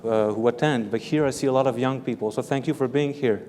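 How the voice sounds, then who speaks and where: A man from Belgium, a native French speaker, answering interview questions as an author and professor, careful and measured, repeating words as he explains. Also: mid-range voice, darkish tone. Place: large hall with live audience. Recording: long hall reverb with faint room noise.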